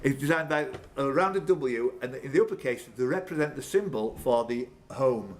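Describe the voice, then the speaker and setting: A man's voice: mid-range voice, animated, moderate pace, a little hiss or hum. A man working as a quizmaster, reading questions live with informal teasing banter and stumbling self-corrections. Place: indoors at a pub quiz tournament.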